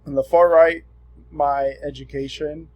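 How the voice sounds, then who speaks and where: A man in his twenties from Southern California, giving a relaxed, earnest self-introduction for a class assignment. He often pauses with ums and restarts his sentences. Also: on the high side, low-quality recording. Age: 20s. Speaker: student presenting himself.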